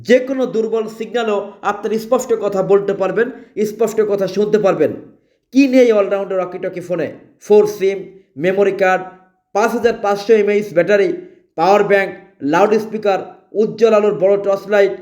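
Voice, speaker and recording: A man with a Bangladeshi accent delivering an emphatic, repetitive promotional sales pitch as a product advertiser. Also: darkish tone. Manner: emphatic, repetitive, listing features.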